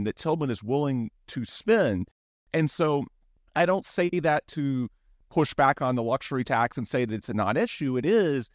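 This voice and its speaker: A man with an American accent speaks as a sports podcast host, in a mid-range male voice. He builds his commentary in a measured, explanatory manner, point by point. The recording is dry and free of echo. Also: medium pitch.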